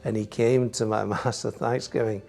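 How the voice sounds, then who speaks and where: Low gravelly Scottish priest. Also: male voice, steady tone, normal speed, darkish tone, soft background music. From Scotland. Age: middle-aged. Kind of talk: reflective interview answers.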